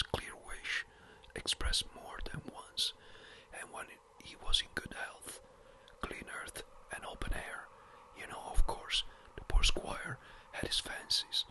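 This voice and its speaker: Brief: fussy elderly country parson, clipped and hurried, whispered by the narrator. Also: natural voice, poor audio. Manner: brisk, a little impatient. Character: fretful elderly village rector, a man.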